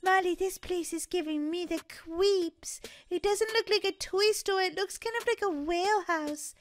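A woman's voice: extremely high pitch, measured pace. A woman voicing a sassy, sceptical little girl with a childlike, chiding manner in a gaming video. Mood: uneasy.

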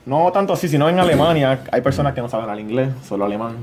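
A young man with a mixed Puerto Rican and Dominican accent, answering interview questions in a shy, modest, soft-spoken way. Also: medium pitch, lively intonation, racing, crowd noise. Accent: Puerto Rican and Dominican mix. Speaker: interview guest. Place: small studio with brick-look wall. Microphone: desk boom mic.

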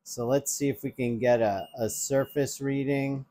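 A man's voice: mid-range voice, steady tone, unhurried, mellow. A man in his forties, a tech reviewer, chatting casually through a product demo in his home kitchen on a lapel mic, with ums and restarts as he handles the device. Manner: chatty, explains while handling gadgets, frequent ums and restarts. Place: home kitchen by an open window.

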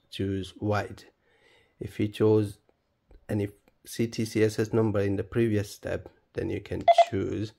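A man presenting a step-by-step tutorial in a plain, halting, instructional manner. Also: on the low side, creaky, very noisy. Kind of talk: step-by-step spoken tutorial, unscripted.

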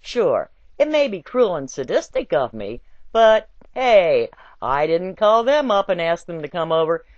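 Woman reading a story out loud, kinda low voice, really playful and theatrical, muffled lo-fi audio. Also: big pitch swings, unhurried, dry recording. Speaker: reader of a written essay. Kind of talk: expressive scripted narration of a satirical essay. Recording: dull, lo-fi home recording.

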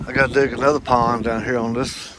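A man's voice: mid-range voice, flat delivery, fairly quick. A man giving a rambling, folksy walkaround of his farm, recorded outdoors on a handheld phone. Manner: rambling, folksy, thinking aloud with many fillers. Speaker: farm owner vlogger. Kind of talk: casual walkaround vlog. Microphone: phone held while walking. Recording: wind noise on phone mic outdoors. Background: wind and outdoor ambience.

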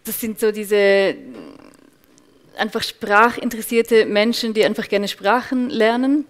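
A woman's voice: fairly high pitch, fairly even intonation, measured pace. A woman in her thirties with a Swiss accent, answering on a panel in a thoughtful way, with many restarts. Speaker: panel guest, culture officer. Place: event room with large windows.

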